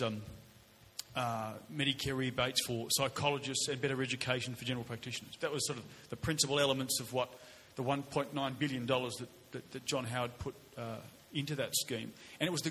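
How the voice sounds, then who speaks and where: A middle-aged Australian man with a loud voice, answering as a politician at a public forum, repeating words haltingly. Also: medium pitch. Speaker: politician on a panel. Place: large indoor hall, public forum. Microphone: shared event microphone. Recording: echoey hall with background noise.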